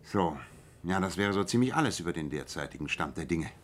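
A low-pitched, self-assured detective in a radio play. He lays out his reasoning in a measured, precise way, over a bed of light background noise. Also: animated. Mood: analytical, assured. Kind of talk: German radio play dialogue.

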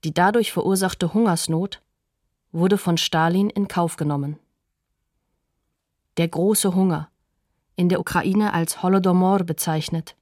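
A woman reading scripted radio documentary narration in a sober, factual, measured manner. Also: on the low side, a little mellow, no echo, studio quality.

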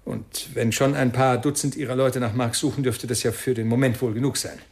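An actor playing a brusque, defensive, well-off father in a radio crime drama, with a creaky voice and a tightly controlled manner. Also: mid-range voice, steady tone, brisk, a little mellow, close to an everyday voice. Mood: evasive, hesitant. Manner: brusque, hesitant under pressure.

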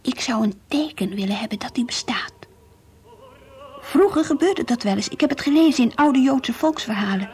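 Earnest, high-voiced devout Dutch teenage girl. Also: expressive, moderate pace, darkish tone. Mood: dreamy, confiding. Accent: Netherlandic Dutch. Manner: earnest, dreamy, stubborn. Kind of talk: Dutch radio play acting, diary monologue and dialogue. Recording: faintly hissy old radio play recording.

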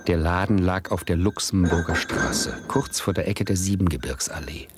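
An actor playing an adult nephew who narrates a radio play in a wistful, ironic, literary way. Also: male voice, sits low, moderate pace, heavy background noise, natural voice. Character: wry adult nephew, stalled doctoral student, narrating.

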